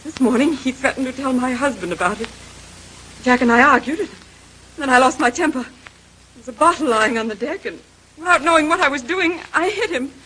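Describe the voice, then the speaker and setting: An actress playing a distraught wife confessing in a 1930s radio drama, with a halting, pleading, melodramatic delivery. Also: female voice, sits high, animated, sharp tone. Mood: strained confession. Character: distraught young wife confessing to an accidental killing. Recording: noisy vintage radio recording.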